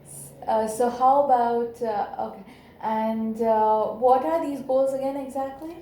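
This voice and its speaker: A woman's voice: on the high side, steady tone, a little slow, dark tone. A woman acting as a counselor, asking tentative, probing questions. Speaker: counselor in a role-play. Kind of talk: counseling session. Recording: echoey room recording.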